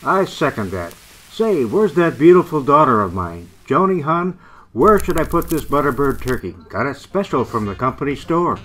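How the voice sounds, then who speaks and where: A man playing a genial, proud grandfather and company salesman in a radio play, with a folksy pitchman's manner. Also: sing-song, normal speed, a bit bright, low-quality recording. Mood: jovial. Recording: music behind the voice.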